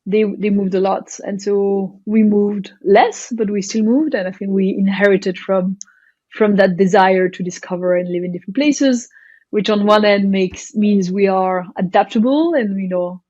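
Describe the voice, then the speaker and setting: A woman's voice: medium pitch, steady tone, moderate pace, a little mellow, no echo, lo-fi sound. Thoughtful woman guest from Belgium. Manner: thoughtful, hesitant, many fillers and restarts. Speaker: guest, startup founder. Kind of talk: casual interview chat.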